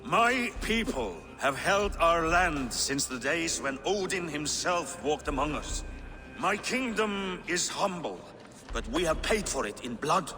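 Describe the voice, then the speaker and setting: A slow, grave older Norse king. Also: on the high side, pushed and bright. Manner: solemn, oratorical. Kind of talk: dramatic scripted game acting. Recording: echoey hall with music underneath.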